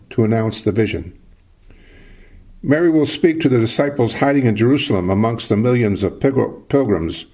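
An older man, a priest from Canada, giving a sermon in a slow, measured preaching cadence with a husky voice. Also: mid-range voice, darkish tone.

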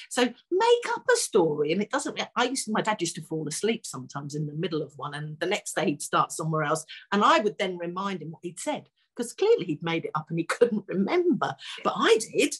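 A woman's voice: lowish voice, husky. A woman in her sixties talking informally and encouragingly to parents, as a guest speaker on a video call from home. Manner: encouraging, conversational. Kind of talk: informal advice talk to parents. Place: furnished room at home. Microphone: video call, laptop microphone.

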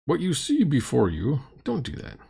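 A middle-aged man with a husky voice delivers casual commentary as a reacting host. His pitch swings widely as he makes exasperated, mocking points with strong emphasis. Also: wildly swooping pitch, normal speed, a little mellow. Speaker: host reacting to a game recording. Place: dim room indoors.

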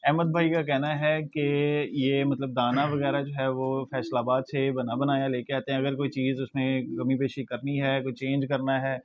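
A man's voice: medium pitch, little pitch movement, moderate pace, few pauses, muffled recording, a crowd in the background. A man in his thirties with a Pakistani Urdu accent, hosting a pigeon loft visit and interviewing the owner, full of eager praise. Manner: enthusiastic, keeps repeating praise. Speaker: pigeon channel host. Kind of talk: casual farm visit interview. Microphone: lapel mic.

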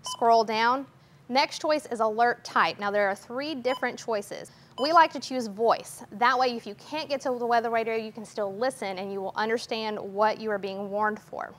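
A young woman giving an orderly, step-by-step instructional demonstration in a clear explaining manner. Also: a little high, moderate pace. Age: young adult. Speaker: guest demonstrator. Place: TV station weather office, indoors. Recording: noticeable background noise.

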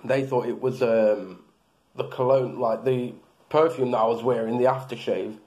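Mellow-voiced bearded man reviewing products. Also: mid-range voice, steady tone, moderate pace, low-quality recording. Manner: rambling, hesitant, many fillers and restarts. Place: small room indoors.

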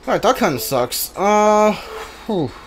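A video game player giving casual live playthrough commentary, thinking aloud in a halting way with mumbled asides. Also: medium pitch, sing-song, rough recording. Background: video game music.

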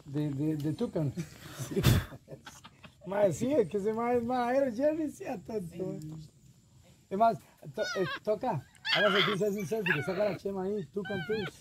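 A man leads a jungle river boat tour, chatting in a slangy, casual way as he points things out. He is recorded outdoors on an open boat, with loud outdoor noise all around him. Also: sits high, expressive, unhurried, pauses often. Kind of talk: casual guiding chat, Spanish and English.

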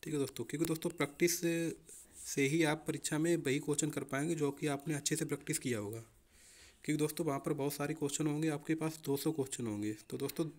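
A man's voice: on the high side, quick pace, a little mellow. A young man explaining exam preparation informally as a coaching teacher, often addressing listeners as friends.

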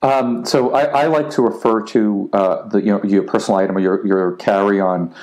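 A man's voice: on the low side, fairly even intonation, moderate pace, a little mellow, rough recording. A man hosting a podcast, asking questions in a hesitant way with many fillers. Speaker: podcast host. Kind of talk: interview questions.

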